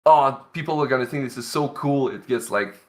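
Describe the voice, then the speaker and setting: A man's voice: medium pitch, a little fast. A man from Canada, an indie game developer, answering interview questions in an earnest, explanatory way with lots of "like" fillers.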